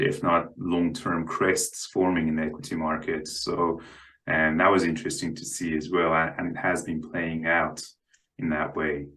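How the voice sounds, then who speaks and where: A man's voice: low voice, a bit bright, low-quality recording. A man speaking as a guest analyst in unscripted market commentary, hesitant and often repeating his words.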